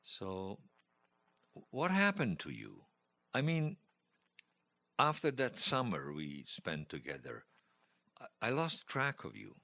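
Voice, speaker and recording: Low-voiced, wistful elderly widower. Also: male voice, huge pitch swings, slow pace, creaky, pauses often, bright, forceful tone, full character voice. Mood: nervous, regretful. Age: older. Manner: hesitant, stammering, tender. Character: elderly widower, gentle, wistful, teasing old romantic. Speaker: interviewee telling his life story. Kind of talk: audio drama acting, personal reminiscence. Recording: music behind the voice.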